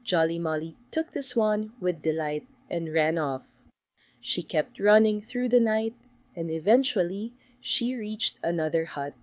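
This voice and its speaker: A woman's voice: lowish voice, unhurried, darkish tone, no echo. A woman reading a scripted bedtime story for children as its narrator.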